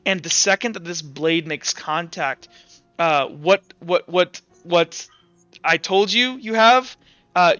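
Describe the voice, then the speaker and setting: Guy game mastering, high bright voice, halting dramatic narration, bit muffled with music behind. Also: clear, resonant tone, no room sound. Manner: halting, dramatic, swears casually. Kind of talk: improvised tabletop game narration.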